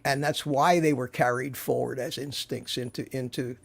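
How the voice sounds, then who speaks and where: A man in his seventies, a guest in a casual interview, telling stories in an animated, chatty way with frequent restarts. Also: mid-range voice, normal speed, vocal fry. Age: 70s.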